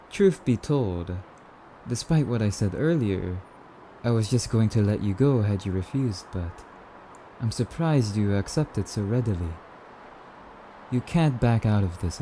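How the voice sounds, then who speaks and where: Man playing a slow, low-voiced courtly vampire. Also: warm tone, slight background noise, poor audio. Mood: pleased, courtly. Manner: slightly archaic, musing, haughty yet polite. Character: courtly, wistful male vampire, hungry and teasing. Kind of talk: ASMR roleplay monologue addressed to listener.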